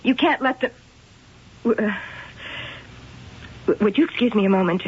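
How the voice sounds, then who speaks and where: A woman's voice: a little high, normal speed, husky. An actress playing a secretive, intense young woman in a radio mystery drama, with an evasive manner. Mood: anxious. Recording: muffled, noisy 1980 radio broadcast.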